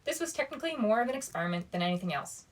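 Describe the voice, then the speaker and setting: A woman reading a young adult story aloud as expressive bedtime narration, at a medium pitch. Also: very fast.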